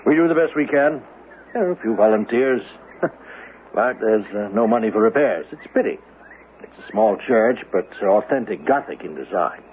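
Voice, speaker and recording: An actor playing an elderly, gentle, wistful minister in a 1970s radio drama, speaking in a courteous, hesitant, halting way. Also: male voice, lowish voice, expressive, close to an everyday voice. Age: older. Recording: muffled lo-fi radio recording with faint noise.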